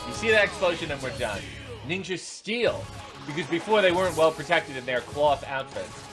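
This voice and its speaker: A man with a somewhat high-pitched voice reacts to clips as he watches them. His running commentary is unscripted, incredulous and sarcastic, with an exasperated edge. Music plays clearly behind his voice. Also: animated, normal speed. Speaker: reaction video host.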